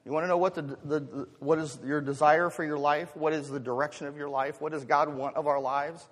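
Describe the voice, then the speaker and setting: An American man delivers a sermon in a fairly high-pitched voice, with long reverb from a large hall. He poses rhetorical questions to the congregation as a pastor would. His delivery shifts between chatty asides and emphatic swells. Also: fairly even intonation, brisk.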